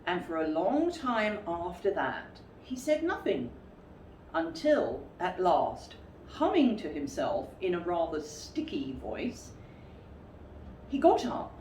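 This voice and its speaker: Expressive, unhurried woman reading a children's story. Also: fairly low pitch. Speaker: storyteller, school reader. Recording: roomy, distant mic, faint background noise.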